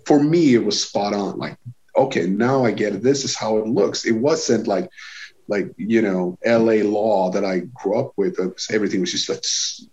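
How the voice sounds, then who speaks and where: A Swedish man in his forties speaks English with a Swedish accent, his voice sitting fairly low. He chats casually as a guest musician, circling back and restarting his sentences. The sound is dull and lo-fi, like a video call. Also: darkish tone. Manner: rambling, many restarts and repeated words. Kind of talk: casual conversation.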